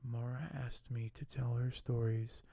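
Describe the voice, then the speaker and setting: A man doing ASMR in a soft, hushed, often whispered voice, halting and unhurried as he shows items and reads aloud close to the microphone. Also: medium pitch, level tone, vocal fry, no room sound. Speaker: ASMR video creator. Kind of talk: ASMR unboxing and reading aloud.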